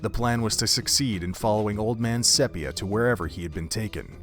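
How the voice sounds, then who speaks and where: Male story narrator, fairly low voice, measured and literary, music bed. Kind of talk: scripted story narration for an audio drama. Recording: background music under the voice.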